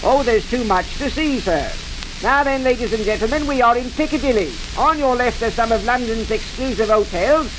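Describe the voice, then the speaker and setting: A man's voice: extremely high pitch, lively intonation, moderate pace. A cheerful sightseeing guide in a vintage radio comedy. He delivers formal, deferential patter with patient, wry courtesy. The recording is full of hiss and crackle. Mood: brisk, courteous patter. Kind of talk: old-time radio comedy acting.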